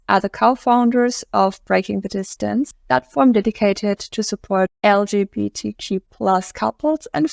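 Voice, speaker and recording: A woman's voice: medium pitch, gravelly, darkish tone, dry recording. A woman hosting an interview podcast, opening with an upbeat, scripted introduction. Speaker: podcast host.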